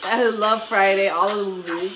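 A woman's voice: mid-range voice, steady tone, fairly quick, over music. A young woman with a nasal, congested voice from the flu, giggling often as she reacts to clips from her home. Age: young adult. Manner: giggly, laughs often between remarks. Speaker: YouTube reaction host. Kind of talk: casual reaction commentary. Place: small room at home, indoors.

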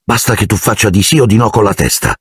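A man's voice: on the low side, animated, fast, slightly rough, dry recording, studio quality, voice pushed up. A man voicing an earnest, bewildered and insistent young boy wizard in a storybook reading. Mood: urgent.

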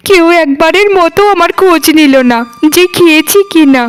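Woman acting a very high-pitched, plaintive young wife. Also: moderate pace. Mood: self-pitying. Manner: plaintive, pleading. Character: exhausted, self-pitying, overworked young wife. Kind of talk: cartoon voice acting.

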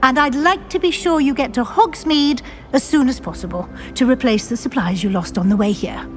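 A woman playing a brisk, formal older witch professor with a British accent, measured and articulate, kindly but firm. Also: high voice, close to an everyday voice. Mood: brisk, encouraging. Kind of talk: scripted game dialogue. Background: background music.

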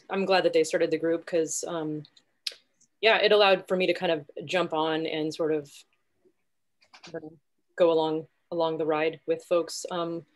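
A woman member of the group chatting casually and rambling a bit over a video call. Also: fairly low pitch. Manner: rambling, many likes and fillers. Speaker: community member. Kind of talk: casual chat.

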